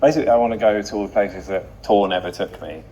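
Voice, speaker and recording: A man's voice: lowish voice, fast, warm tone, highs cut off. A man in his thirties, an interview guest, talking casually with a dry, wry humour. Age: 30s.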